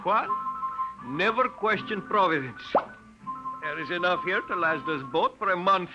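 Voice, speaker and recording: A slow, deliberate hotel manager, lively high voice, old radio play. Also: male voice, over music. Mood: bewildered. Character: puzzled hotel manager, middle-aged man. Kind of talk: 1950s radio drama acting. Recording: dull lo-fi 1950 radio broadcast.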